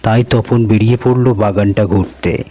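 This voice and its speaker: A man's voice: on the low side, steady tone, normal speed, dark tone, slight background noise. A man narrating a scripted cartoon story as its storyteller.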